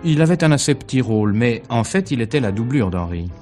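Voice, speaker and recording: A man playing a tactful, guarded theatre agent in a radio mystery, speaking in measured, articulate phrases. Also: medium pitch, expressive, a little mellow, faint music behind, natural voice. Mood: guarded, discreet. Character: discreet, tactful male theatre agent under questioning. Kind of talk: 1950s French radio mystery acting.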